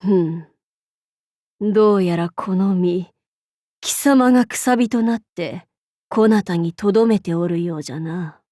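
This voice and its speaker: Haughty demon king warlady for a game, slow old-timey commanding speech, bone dry studio sound. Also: lowish voice, mellow, no room sound. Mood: reflective. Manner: archaic, lordly, commanding speech. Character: haughty female demon-king warlord, imperious yet amused. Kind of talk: Japanese game character voice lines.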